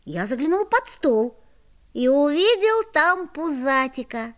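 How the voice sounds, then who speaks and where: A woman playing a lively, curious schoolboy who narrates a children's audio play in an expressive, boyish way. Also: high-pitched, takes it slowly, full character voice. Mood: lively, wondering.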